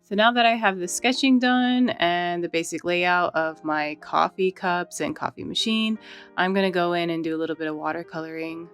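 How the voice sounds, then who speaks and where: A woman chatting casually while she draws, relaxed and rambling, often saying "like" and "you know". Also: fairly low pitch, moderate pace, smooth, soft background music. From United States. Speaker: art channel host. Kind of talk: casual chat while drawing.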